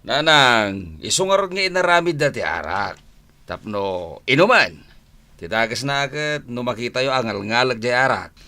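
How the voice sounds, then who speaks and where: An actor playing a cheeky hard-drinking husband in a radio comedy drama, with a blustering manner and a Filipino Ilocano accent. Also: male voice, medium pitch, animated, normal speed, sharp tone. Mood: teasing defiance. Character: cheeky hard-drinking husband who argues back, comic drunkard.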